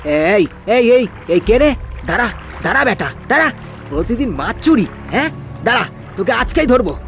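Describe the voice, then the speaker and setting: A man plays a village fish farmer in a cartoon ghost tale. His voice is pitched high, scared but defiant, tripping and repeating words. Music runs behind him. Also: big pitch swings, moderate pace, a bit bright, exaggerated voice. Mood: alarmed challenge. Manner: stammering, repeating words in fright. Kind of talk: cartoon horror dialogue.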